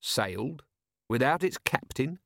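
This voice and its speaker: Man playing a calm, sardonic watch commander. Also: mid-range voice, normal speed, no echo, clean studio sound, voice pushed up, lightly acted. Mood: mock puzzled. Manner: calm, wry, needling. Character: dry, sardonic male city watch commander, self-assured.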